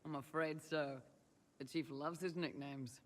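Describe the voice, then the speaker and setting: A man's voice: fairly high pitch, steady tone, a little slow, long reverb tail, close to an everyday voice. An actor playing a young male mercenary lieutenant in a video game, teasing with dry, sardonic wit. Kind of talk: video game character dialogue.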